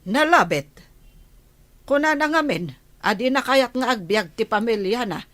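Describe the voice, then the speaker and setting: Radio drama mother: stern, combative, middle-aged, measured pace, commanding delivery. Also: a little high, animated, fairly bright tone. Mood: purposeful, wary.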